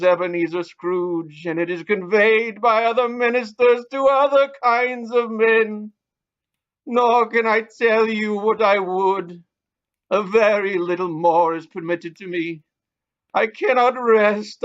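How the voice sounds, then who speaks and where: A man performs a mournful ghost bound in chains for an audio play. He declaims very slowly, with solemn, weary warning in every phrase. The recording is dull and lo-fi. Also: sits high, fairly bright tone, clear, resonant tone. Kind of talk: audio play acting of a classic ghost story.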